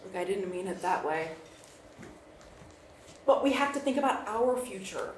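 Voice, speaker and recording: A woman's voice: mid-range voice, lively intonation, normal speed, lightly acted. A woman playing an anxious, conciliatory young fiancée in a live screenplay table read. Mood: placating. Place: live stage venue. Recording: echoey live room with noise.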